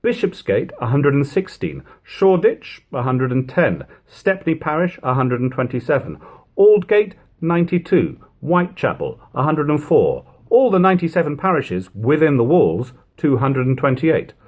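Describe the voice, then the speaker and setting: A man reading an old literary text aloud as narration, in measured, emphatic phrasing. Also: a little high, sing-song, darkish tone. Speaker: reader of a classic book. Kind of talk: audiobook-style literary narration.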